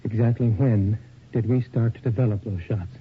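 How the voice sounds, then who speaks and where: A man's voice: on the low side, fairly even intonation, moderate pace, close to an everyday voice. An actor playing a suspicious male assistant in a radio drama, with a pointed, questioning, natural delivery. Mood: probing, urgent. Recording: tinny old radio recording, faint noise.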